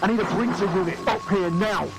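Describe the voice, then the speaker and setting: A man's voice: high voice, fairly quick, over loud music, rough recording. A man playing an embattled police officer giving urgent, gritty commands over riot comms in an audio drama.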